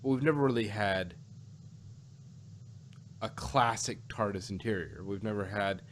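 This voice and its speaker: A man co-hosting a casual fan discussion, talking in halting phrases with a joking bent. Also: on the low side, slight background noise. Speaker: podcast co-host.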